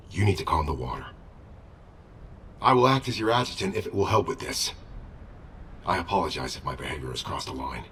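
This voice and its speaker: An actor playing a loyal, earnest military adjutant in a video game, speaking formally and respectfully. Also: male voice, low-pitched, bright, forceful tone, far from the mic, slight background noise, heavily acted. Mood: earnest, reassuring. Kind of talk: scripted game dialogue.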